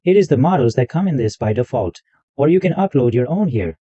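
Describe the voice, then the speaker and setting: A man hosting a screen-recorded software tutorial, narrating every click step by step in loose, repetitive wording. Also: mid-range voice, animated, a little fast, slightly rough, no echo. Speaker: tutorial host. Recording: dull lo-fi dry mic sound.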